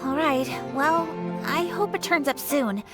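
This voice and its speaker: A woman's voice: high voice, unhurried, loud music behind the voice. A woman voicing a kind, helpful young townswoman in a video game, with a polite, apologetic manner.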